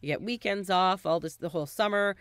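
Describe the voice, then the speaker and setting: A woman's voice: fairly low pitch, a little fast, no echo. A woman of forty co-hosting a casual podcast over a video call, talking animatedly with frequent laughs. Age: 40s. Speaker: podcast co-host. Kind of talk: casual chat. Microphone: studio mic on arm, headphones, video call.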